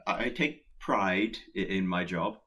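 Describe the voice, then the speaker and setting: Man acting a stammering, husky, nervous Pestilence. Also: low-pitched, unhurried, pushed and bright, full character voice. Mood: nervous, evasive. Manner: hesitant, stammering. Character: nervous, awkward male Pestilence, secretly admiring catastrophe. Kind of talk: radio play acting.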